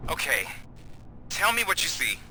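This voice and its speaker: An actor playing a nervous, bumbling, eager police officer in a video game, with a hesitant, flustered way of talking. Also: male voice, a little high, very animated, normal speed, noisy background. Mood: nervously focused. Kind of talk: video game cutscene acting.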